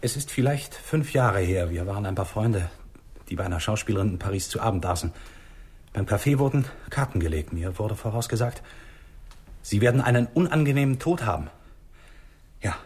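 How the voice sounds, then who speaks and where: An actor playing a frightened, sickly doctor who halts and repeats himself, in a 1960s German radio drama. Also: male voice, on the low side, expressive, darkish tone, breathy edge. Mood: uneasy, brooding. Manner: halting, repeating words. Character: frightened, sickly bourgeois doctor, self-pitying and indignant.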